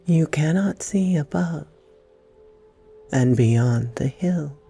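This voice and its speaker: A woman hosting a guided meditation, speaking in soothing, deliberate phrases with long pauses and a breathy softness. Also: low-pitched, expressive, faint music behind. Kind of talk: slow guided meditation narration.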